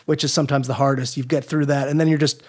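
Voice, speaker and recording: A man's voice: mid-range voice, level tone, quick pace. A man speaking as a guest expert in a casual interview, giving easygoing, reassuring advice. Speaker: guest expert, writer and audio drama maker.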